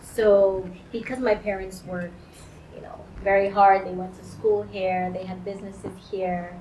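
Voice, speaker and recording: Animated woman from Guyana, lapel mic. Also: mid-range voice, unhurried, slight background noise, rough recording. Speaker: interview guest. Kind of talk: conversational interview storytelling. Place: indoors against a pale wall.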